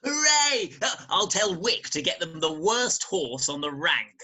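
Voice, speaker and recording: An actor plays an unruly, upper-class British youth in a theatrical farce. The voice is bright and very animated. He wheedles and schemes with breezy, cheeky confidence. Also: mid-range voice, a little slow, breathy edge, full character voice. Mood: gleeful. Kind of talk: theatrical staged reading of Victorian farce. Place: home, recorded remotely.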